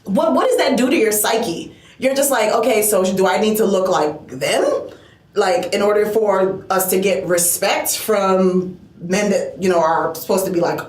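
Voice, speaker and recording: A young American woman co-hosting a podcast, talking in a casual, animated way and acting out the voices of people she quotes. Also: medium pitch, normal speed. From United States. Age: young adult. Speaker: podcast co-host. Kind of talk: casual chat. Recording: echoey room.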